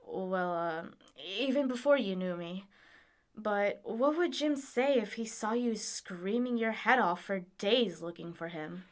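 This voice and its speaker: A woman's voice: mid-range voice, animated, measured pace, close to an everyday voice. A woman acting in an audio drama as a blunt, pragmatic young traveler, frank and informal, exasperated but caring. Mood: exasperated, concerned.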